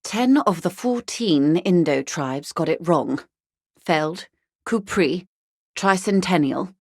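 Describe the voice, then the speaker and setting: An actress playing a worldly older upper-class woman in a video game, speaking in a measured, wry, faintly condescending manner. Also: on the low side, animated, a little mellow, slightly rough, dry recording, clean studio sound, full character voice. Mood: detached, grave. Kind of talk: video game character dialogue.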